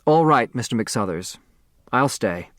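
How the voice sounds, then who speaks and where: A male narrator plays a dignified woman judge in a storybook. She is stern and formal, speaking slowly and deliberately in a dry, close recording. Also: mid-range voice, expressive, no echo, natural voice. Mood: cool, steely. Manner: formal, proud, measured.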